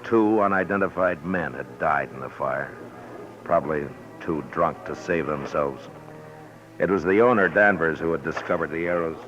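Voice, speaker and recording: An actor playing a stern, level-headed town marshal with a firm, commanding manner in a 1950s radio western. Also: male voice, very deep voice, moderate pace, fairly bright tone, faint music behind. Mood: somber narrating. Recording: dull 1950s radio recording.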